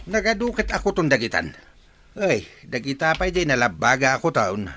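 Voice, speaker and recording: A man's voice: medium pitch, very animated, normal speed, pushed and bright, dry recording. A man with a northern Philippine accent playing an elderly village father who frets and admonishes in a comedy radio drama. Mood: scolding, exasperated. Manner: scolding, advising.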